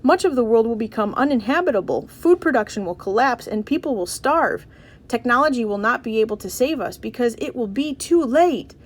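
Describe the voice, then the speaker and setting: A woman voicing a passionate father and campaigner in a storybook, making an urgent, loud speech. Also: on the high side, normal speed, natural voice.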